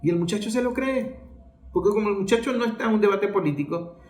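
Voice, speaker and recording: High-voiced Venezuelan man, emphatic political talker. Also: quick pace, a little mellow. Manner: emphatic, didactic, rhetorical questions answered by himself. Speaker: political commentator. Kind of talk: impassioned informal political commentary. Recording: roomy, echoing sound.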